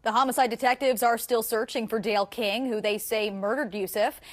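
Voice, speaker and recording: Higher-voiced woman live news reporter. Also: fairly even intonation, fairly quick. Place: TV studio.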